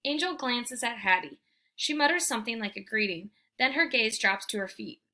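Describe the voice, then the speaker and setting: Woman doing a kids book read aloud, bright voice, really expressive and emphatic. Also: a little high, moderate pace. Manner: animated, emphatic, theatrical delivery. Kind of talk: expressive children's book narration.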